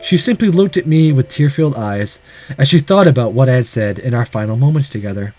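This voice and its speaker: A man's voice: medium pitch, lively intonation, a little fast, dark tone. A man reading a visual novel aloud as dramatic narration, breaking off for sarcastic, profane casual asides.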